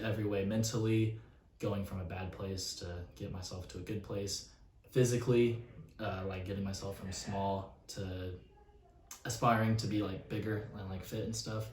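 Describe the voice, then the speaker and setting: A twenty-one-year-old man answering interview questions hesitantly, with many pauses and restarts. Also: fairly low pitch, fairly even intonation, a little mellow. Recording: roomy, distant mic, faint noise.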